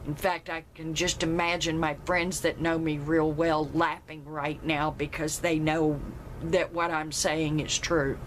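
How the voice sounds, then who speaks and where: A woman with a loud, carrying voice, telling a personal story emphatically. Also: flat delivery, a little slow, a little hiss or hum. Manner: emphatic, rises in pitch when retelling her protest.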